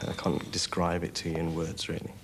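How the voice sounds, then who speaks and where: Very deep-voiced young man. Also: monotone, a little fast. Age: young adult. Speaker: interviewee, rescued caver. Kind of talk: reflective interview recollection. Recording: clear background noise.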